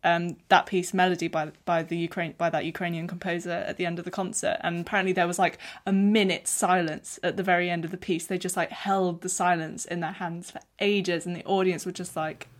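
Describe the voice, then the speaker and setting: A young woman hosting a podcast interview, chatty and encouraging, reacting with warm enthusiasm. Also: fairly low pitch, level tone, brisk, hardly any pauses. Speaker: podcast host.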